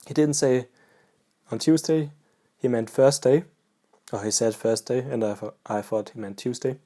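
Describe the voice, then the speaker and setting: A man from Austria with an Austrian German accent, a native German speaker, chatting casually and haltingly to camera as a pipe-smoking vlogger. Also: mid-range voice. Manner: halting, relaxed, pauses mid-sentence.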